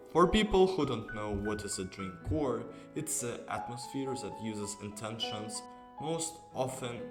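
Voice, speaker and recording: A man reading scripted narration as the narrator of a video essay. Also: on the low side. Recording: music under the voice.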